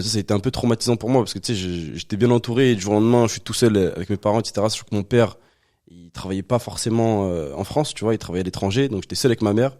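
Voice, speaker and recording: A man in his twenties, originally from Algeria, telling his life story as a podcast guest in relaxed chat full of fillers. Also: lowish voice. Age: 20s. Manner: relaxed, many fillers and restarts. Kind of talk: casual conversation.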